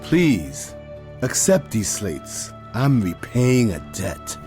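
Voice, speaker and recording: A man's voice: fairly low pitch, sing-song, drawn-out words, darkish tone, soft background music, heavily acted. A man playing a devoted younger brother, a courteous 19th-century schoolteacher, in a period audio drama. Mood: admiring, tender.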